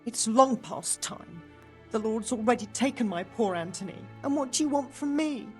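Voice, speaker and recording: A weary medieval widow, acted by a woman with a fairly high voice and faint music behind. She is sorrowful and wary, a frail grieving villager in a game drama. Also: animated, moderate pace, a little mellow, lightly acted. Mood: wary, bitter. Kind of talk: video game voice acting.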